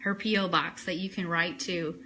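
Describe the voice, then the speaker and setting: A woman's voice: fairly low pitch, fairly even intonation, moderate pace, bright, forceful tone, slight background noise, rough recording. A woman hosting a casual fan radio show live, chatting informally and with enthusiasm.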